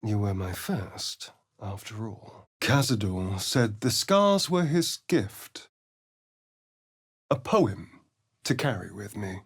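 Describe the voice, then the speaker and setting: Theatrical, preening vampire with swooping pitch. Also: male voice, lively intonation, slow, breathy edge, full character voice. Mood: seductive, musing. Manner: arch, flirtatious, swooping from purrs to exclamations. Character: preening, sardonic male vampire spawn companion. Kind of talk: theatrical fantasy video game dialogue.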